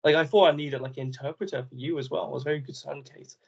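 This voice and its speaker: A husky man with a gravelly edge tells stories in a loose, rambling way, restarting his sentences often. The recording sounds a little muffled. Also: a little high, fast. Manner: rambling, animated, many restarts. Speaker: guest. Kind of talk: casual chat.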